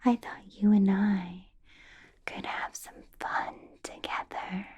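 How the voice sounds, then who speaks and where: A woman playing a centuries-old vampire boss in a whispered ASMR roleplay, teasing and menacing, with deliberate pauses and a falsely pleasant manner. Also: mid-range voice, steady tone, lightly acted. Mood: softly menacing. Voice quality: breathy whisper.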